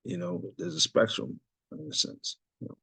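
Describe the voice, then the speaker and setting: Husky man giving a casual religious talk. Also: high voice, huge pitch swings, moderate pace, sharp tone, dry recording. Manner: loose, conversational, many fillers and asides. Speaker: religious teacher leading a study discussion.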